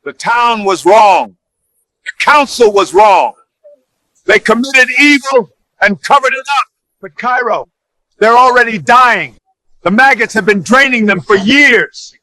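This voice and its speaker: A man performs a townsman weighed down by guilt. The voice is very high and bright, solemn and earnest, recorded dry for a dramatic folktale. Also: lively intonation, unhurried, no echo, exaggerated voice, poor audio. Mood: grave, appealing.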